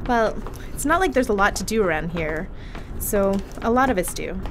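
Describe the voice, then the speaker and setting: A woman playing a lively, crude crewwoman. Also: medium pitch, normal speed, noisy background, lightly acted. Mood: casual. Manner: casual, swearing, laughing. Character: chatty, crude young crewwoman on a ship. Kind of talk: audio drama acting.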